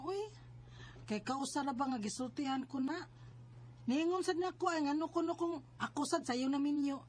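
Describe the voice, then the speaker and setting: A woman acting a high-voiced, nagging older sister. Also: a little mellow, close to an everyday voice. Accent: Cebuano Filipino. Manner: nagging, emphatic. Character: protective, scolding married older sister, young woman. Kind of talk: Bisaya radio drama acting.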